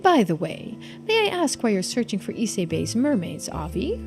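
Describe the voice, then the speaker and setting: A woman reading a polite, measured and helpful local man in a video game, with formal phrasing. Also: mid-range voice, sing-song, music in the background. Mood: courteous. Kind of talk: video game character read-aloud.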